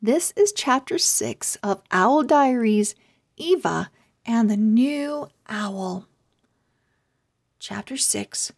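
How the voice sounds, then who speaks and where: A woman reads a children's storybook aloud in a high, very animated voice. She hosts the read-along and takes it extremely slowly, phrasing each word with care. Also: vocal fry. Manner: deliberate, expressive, word-by-word phrasing. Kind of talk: slow scripted storybook narration for children.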